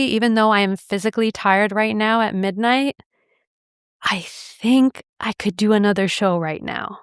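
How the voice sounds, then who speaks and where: Smooth-voiced woman telling a personal story. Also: mid-range voice, no echo, studio quality. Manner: reflective, earnest, easygoing with light laughs. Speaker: podcast host.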